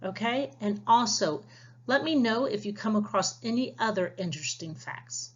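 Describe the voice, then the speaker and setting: A woman's voice: mid-range voice, lively intonation, moderate pace, slightly rough, highs cut off. A woman teacher giving a read-aloud science lesson, addressing children directly with instructions and prompting questions. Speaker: teacher reading a picture book aloud to young pupils.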